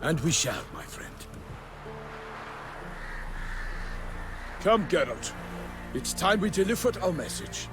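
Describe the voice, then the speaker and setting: A man's voice: sits high, sing-song, normal speed. A man voicing a polite, upright knight errant in a video game, using formal, old-fashioned phrasing. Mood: friendly. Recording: music behind the voice.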